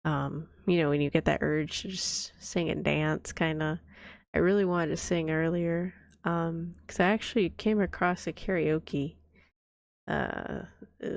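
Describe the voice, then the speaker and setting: Woman, streamer, hoarse from a cold, scratchy, rambling, giggly, soft-spoken, dry close sound. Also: no echo. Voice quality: deep, scratchy voice. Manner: rambling, giggly, coughs often. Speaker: vtuber streamer.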